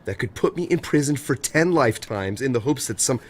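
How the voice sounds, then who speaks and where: A mid-pitched, bewildered male lover as an actor plays him in a radio drama, his pitch moving expressively. He sounds intimate and incredulous, searching for answers. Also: lively intonation, fairly quick, close to an everyday voice. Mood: incredulous, sarcastic. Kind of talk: naturalistic audio drama dialogue.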